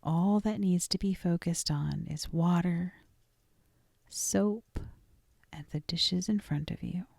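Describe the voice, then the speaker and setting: A woman leading a guided meditation in a soft, gentle, deliberate voice that often trails toward a whisper, with frequent pauses. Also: fairly low pitch, vocal fry, no echo, studio quality. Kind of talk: guided meditation, scripted and soothing.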